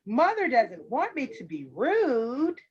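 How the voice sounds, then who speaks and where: A woman's voice: high-pitched, wildly swooping pitch, slow pace, lo-fi sound, a crowd in the background, heavily acted. A woman voicing an innocent, polite little girl in a storybook, earnest and trusting.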